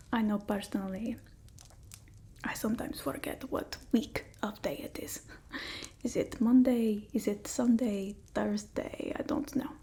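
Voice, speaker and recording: A woman voicing a haughty centuries-old female vampire, breathy and often whispering, in an ASMR roleplay. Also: a little high, mellow. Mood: musing, sated. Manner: broken old-world English, dramatic and haughty. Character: theatrical female vampire, centuries old, bored, demanding, scornful of humans.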